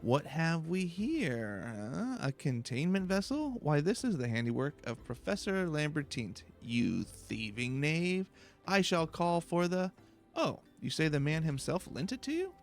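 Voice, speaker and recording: A man voicing a self-important, florid student researcher in a video game, theatrical and excitable. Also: a little high, a little slow, soft background music, voice pushed up. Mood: indignant, proud. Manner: grandiose, alliterative, theatrical. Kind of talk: video game dialogue read aloud.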